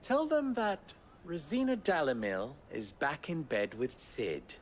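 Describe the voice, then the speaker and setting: An actor playing a wry, sardonic merchant fixer with a dry, teasing manner in a game cutscene. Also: male voice, on the high side, big pitch swings, measured pace, slight background noise. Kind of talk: video game cutscene acting. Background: game score.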